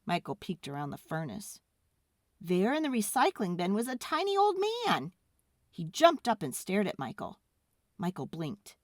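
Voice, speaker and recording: A woman reading a children's story aloud with expressive, deliberate, dramatic narration. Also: medium pitch, dry recording, studio quality.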